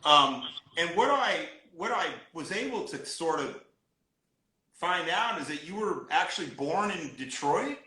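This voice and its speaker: A man's voice: on the high side, animated, measured pace, bright, forceful tone, roomy sound, low-quality recording. A man hosting a live-streamed interview, steering with short encouraging remarks and guiding questions. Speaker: interview host.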